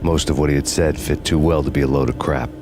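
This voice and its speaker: Very deep brooding American noir detective. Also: male voice, quick pace, background noise. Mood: sardonic, brooding. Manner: deadpan, sardonic. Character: vengeful world-weary hard-boiled male detective, bitterly wry. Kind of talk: noir scripted narration.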